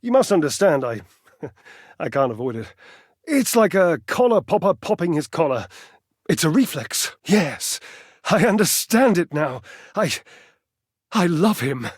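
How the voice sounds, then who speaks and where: A flamboyant male fitness fanatic from a video game, vain and grandly melodramatic. His voice sits a little high and leaps about with great animation. He teases affectionately, lavishing pet names and relishing every florid phrase. The sound is close and dry. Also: very animated, unhurried, long pauses, husky, no room sound, exaggerated voice. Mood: delighted, self-satisfied, then earnest, pleading. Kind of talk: theatrical video game character acting.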